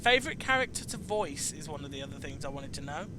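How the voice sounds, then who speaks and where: A man's voice: fairly high pitch, sing-song, fast talker, background noise. A man hosting a casual chat about video games, speaking hesitantly and often repeating and restarting his phrases. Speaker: chat show host interviewing a guest.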